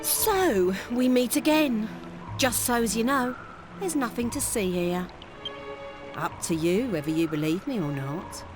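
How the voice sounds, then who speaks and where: Cheeky young female treasure hunter, English accent, animated game delivery, music underneath. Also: on the high side, unhurried. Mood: smug teasing. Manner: slangy, brash, teasing. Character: cocky, teasing young female treasure-hunting thief. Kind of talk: video game cutscene acting.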